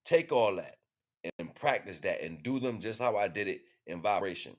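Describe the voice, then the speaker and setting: Male livestream host, fairly low voice, informal spiritual monologue, emphatic and slangy with repeated phrases. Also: animated, moderate pace.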